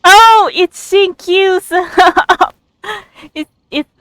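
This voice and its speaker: A woman doing casual let's play commentary as a game streamer, in a halting, giggly way. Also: very high voice, fairly bright tone, dry recording.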